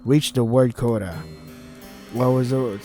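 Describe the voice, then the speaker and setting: Irritated, sweary man with a bright voice. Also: mid-range voice, steady tone, measured pace, soft background music. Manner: irritated, sarcastic, swears heavily. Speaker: let's play host. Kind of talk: reading a visual novel aloud with ranting commentary.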